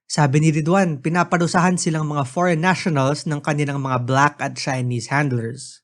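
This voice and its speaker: A man's voice: a little high, steady tone, a little fast. A man with a Filipino accent hosting a podcast and reading scripted news narration. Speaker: podcast host and reporter. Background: music.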